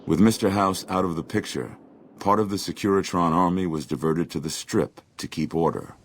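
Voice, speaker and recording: Solemn wasteland storyteller for a game: deep, measured, grave, faint noise behind. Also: male voice. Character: solemn male narrator of a wasteland epilogue. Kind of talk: scripted dramatic game narration.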